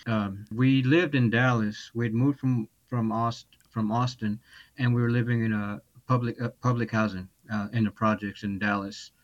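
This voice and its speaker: A man from Texas telling his life story as a podcast guest over a video call, speaking haltingly with many restarts. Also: medium pitch, fairly even intonation, highs cut off. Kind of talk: personal testimony.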